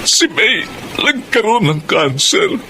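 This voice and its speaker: An anguished father, swooping pitch. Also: big pitch swings, background noise, full character voice. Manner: halting, repeats words in anguish. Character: grieving Filipino father, loving, anguished, bitterly reproachful. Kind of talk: emotional radio drama acting.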